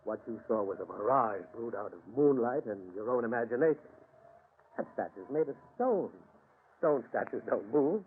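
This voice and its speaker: An actor playing a confident, soothing criminologist in an old-time radio drama, speaking in an articulate, theatrical and reassuring way. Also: male voice, mid-range voice, expressive, moderate pace, a little hiss or hum, lightly acted. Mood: calm, intrigued. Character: confident, soothing male criminologist investigating eerie mysteries. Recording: muffled vintage radio broadcast.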